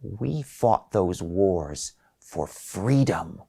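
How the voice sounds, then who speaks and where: A man voicing an indignant, desperate older man with a blustering, halting delivery in a short story. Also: deep voice, animated, gravelly, warm tone, breathy edge, exaggerated voice. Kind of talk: acted short story dialogue.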